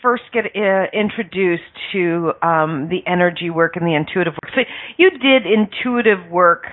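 A woman's voice: fairly low pitch, moderate pace. Effusive woman host on a phone line. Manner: effusive, gushing, laughs often. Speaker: podcast host. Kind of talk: casual interview chat.

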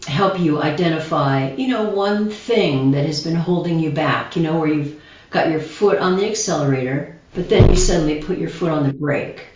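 A woman guest speaker explaining meditations calmly, in a slow, gentle, reflective way. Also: deep voice, slight background noise. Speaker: guest speaker, shamanism teacher. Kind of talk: calm guided explanation. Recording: distant echoey dull video call audio.

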